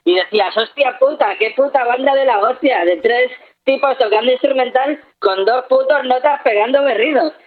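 A man's voice: extremely high pitch, normal speed. A man playing a foul-mouthed punk fan on the phone. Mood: rambling. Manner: swears constantly, rambling. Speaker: interviewee. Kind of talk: comic radio sketch. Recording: tinny phone line.

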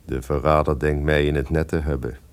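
An actor playing a cunning, distrustful lord who feigns friendship, formal and insinuating in a gothic radio drama. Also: male voice, extremely low pitch, level tone, brisk.

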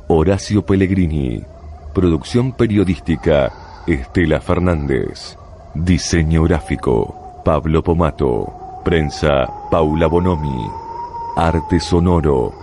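A man's voice: a bass voice, warm tone, slight background noise. A man reading scripted radio drama credits as an announcer.

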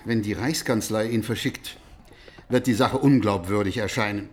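An actor as a fussy, prudent chancellor. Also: male voice, fairly low pitch, close to an everyday voice. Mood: officious, cautious. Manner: officious, deliberate. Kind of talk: radio drama acting.

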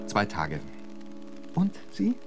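An actor playing an urbane, witty poet in a radio play, suave and flowery with a dry humour. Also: male voice, lowish voice, wildly swooping pitch, drawn-out words, gravelly, full character voice. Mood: polite, careful. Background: music.

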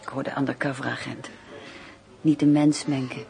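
A woman's voice: rumbling low, level tone, normal speed, creaky, darkish tone, faint music behind. A woman acting in a radio play as a loyal, troubled confidante, speaking in an earnest, hesitant way. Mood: earnest, concerned.

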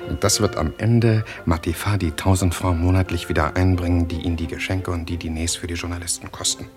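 An actor in a German radio play voicing a worldly, cynical journalist who talks in a glib, mocking, persuasive way. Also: male voice, sits low, fairly even intonation, fairly quick, close to an everyday voice. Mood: cynical, brisk. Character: worldly, cynical Parisian theatre journalist, brash schemer. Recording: studio radio play with music behind.

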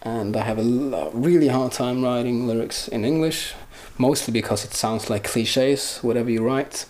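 A man's voice: mid-range voice, normal speed, a little mellow, a little hiss or hum. A man whose first language is Swedish, a musician giving casual, reflective interview answers as he searches for his words. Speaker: musician guest.

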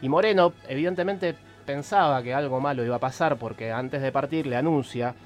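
An Argentine man delivers a historical radio column in a didactic, storytelling way. His pitch sits mid-range, and he pauses to search before stressing key words. Faint music plays behind him. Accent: Argentine (Rioplatense).

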